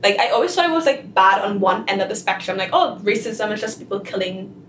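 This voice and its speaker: A woman's voice: mid-range voice, fairly quick. A young woman from Trinidad and Tobago, a first-year student talking animatedly and saying "like" often. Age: young adult. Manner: animated, with frequent "like". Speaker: undergraduate guest. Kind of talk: conversational interview answers. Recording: roomy, distant mic with clear noise.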